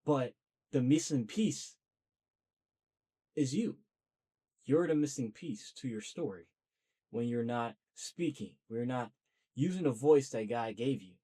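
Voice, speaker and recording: Young man, solo vlog monologue, earnest, talkative, mid-pitched voice. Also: animated, unhurried, long pauses, warm tone, slightly rough. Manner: talkative, earnest, self-motivating. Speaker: podcast host.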